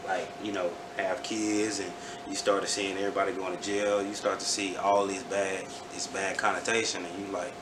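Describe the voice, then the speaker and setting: Young man for a relaxed interview, very low voice, roomy echo, mic far off. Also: moderate pace, few pauses, background noise. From Houston, Texas. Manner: laid-back, rambling with frequent restarts and fillers. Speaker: rapper guest. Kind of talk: casual interview chat.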